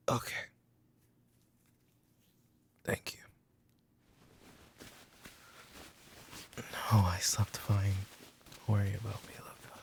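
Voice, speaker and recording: A man playing a brooding mafia boss in a roleplay ASMR audio drama, calm, protective and teasing, with a softly commanding way of talking. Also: lowish voice, creaky, husky, full character voice. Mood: weary, hushed. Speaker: voice actor.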